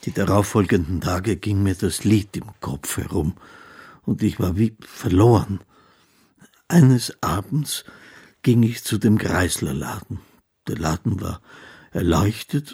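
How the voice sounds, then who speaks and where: A man's voice: low voice, lively intonation, a little mellow, slightly rough, full character voice. An actor playing a timid, courteous musician who tells his story in a hesitant, formal, literary way. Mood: wistful, reflective. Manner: hesitant, halting, formally polite. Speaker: actor narrating as the title character. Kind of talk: literary radio drama, first-person narration and dialogue.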